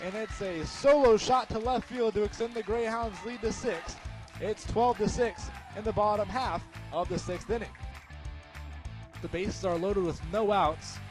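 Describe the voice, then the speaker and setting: High-pitched, excitable male American play-by-play announcer. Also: measured pace, over music. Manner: excited, emphatic, rising to shouts on big plays. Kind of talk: live radio play-by-play commentary.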